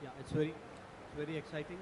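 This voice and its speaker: A man's voice: on the high side, little pitch movement, fast. Hesitant Indian man in echoey hall. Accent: Indian English. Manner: hesitant, many fillers and restarts. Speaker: panelist, film city executive. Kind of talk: unscripted panel remarks. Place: large conference hall. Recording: echoey hall, faint noise.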